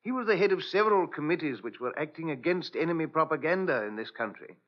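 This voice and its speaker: Deferential manservant played by a man: higher, lively voice, old radio drama, dull sound. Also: fast, lightly acted. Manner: polite, deferential. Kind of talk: 1940s radio drama acting.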